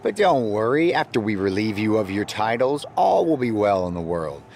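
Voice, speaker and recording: A man's voice: lowish voice, very animated, moderate pace, a little mellow, slight background noise. A man playing a cocky pro wrestler in a video game, delivering a smug, mock-reassuring promo. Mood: mocking, menacing.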